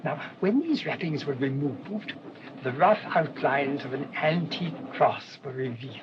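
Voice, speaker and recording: An actor playing a humble, earnest English parish priest in a radio play, mild, hesitant and formally wordy. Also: male voice, fairly high pitch, lively intonation, measured pace. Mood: earnest, storytelling. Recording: dull, noisy old radio recording.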